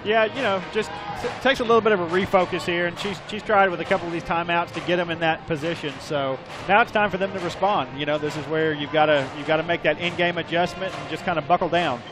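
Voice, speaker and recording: Male color commentator, relaxed, folksy, slightly high voice. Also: fast talker, few pauses, over music. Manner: relaxed, folksy, with fillers like "you know".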